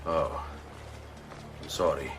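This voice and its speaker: An actor playing a courteous young Renaissance assassin with an Italian accent, polite and understated in a game cutscene. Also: male voice, rumbling low, slow, noisy background. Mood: polite, awkward. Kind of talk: dramatic game cutscene acting. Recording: music behind voice.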